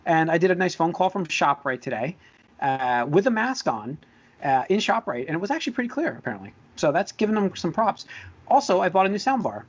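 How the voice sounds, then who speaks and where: A man's voice: high-pitched, sing-song, a little fast, slightly rough, highs cut off. A man hosting a podcast in casual chat, rambling and easily sidetracked as he talks. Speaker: podcast host.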